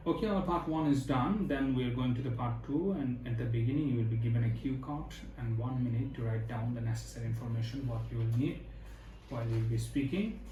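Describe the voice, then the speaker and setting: A man takes the examiner's role and lays out each part with slow, repetitive instructions. His voice sits mellow and low in brightness. The room gives it a clear echo, and faint ambient noise runs underneath. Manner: slow, instructive, repeating phrases. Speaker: IELTS examiner, teacher. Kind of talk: mock speaking test. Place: exam room indoors.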